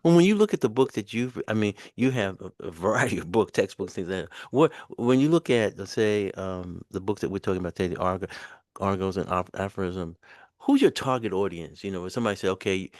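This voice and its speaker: A man's voice: deep voice, expressive, fast, darkish tone, no room sound, studio quality. A male interviewer asking rambling questions with frequent restarts. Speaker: interviewer, host.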